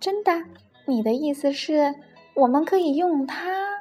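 A woman voicing an excited little penguin who dreams of flying, eager and exclamatory, in a storybook reading. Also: sits high, slow pace, faint music behind, pitched above the performer's own voice, full character voice.

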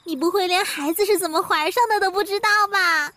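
Bossy, very high-pitched schoolgirl. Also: brisk, fairly bright tone. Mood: smug, teasing. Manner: knowing, exclamatory. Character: bossy, precocious schoolgirl of about ten. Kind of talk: radio drama dialogue. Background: music.

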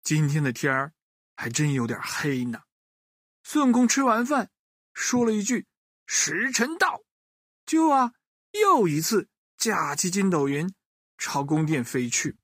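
A woman's voice: low voice, sing-song, a little slow, dry recording, clean studio sound. A woman telling a children's story expressively, addressing young listeners directly with playful questions and little interjections.

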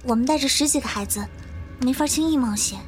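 A high, earnest voice from an actress plays a young woman survivor in an audio drama. She sounds anxious and emotional, as someone protective who pleads her case. Music plays underneath. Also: fast, close to an everyday voice. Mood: earnest, pleading.

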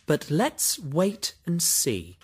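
An actor playing a brisk, eager detective in an audiobook, polite and chatty. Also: male voice, fairly high pitch, husky, exaggerated voice. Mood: courteous. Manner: lively, sing-song, polite and apologetic. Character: brisk, eager middle-aged male detective. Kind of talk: audiobook character reading.

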